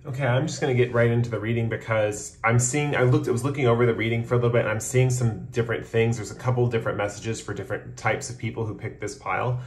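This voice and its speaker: A man's voice: mid-range voice, steady tone, fast talker, few pauses. Man giving a tarot reading in a roomy space. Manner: rambling, hedging with frequent "like" and "kind of". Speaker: tarot reader, host. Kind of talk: casual, improvised tarot reading to camera. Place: indoors at a tabletop, off camera. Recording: roomy, echoing room sound.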